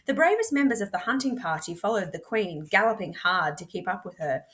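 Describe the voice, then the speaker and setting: A woman reading a storybook aloud expressively, in a measured, dramatic way with deliberate pauses. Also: medium pitch, animated, fairly bright tone. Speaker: teacher reading books aloud.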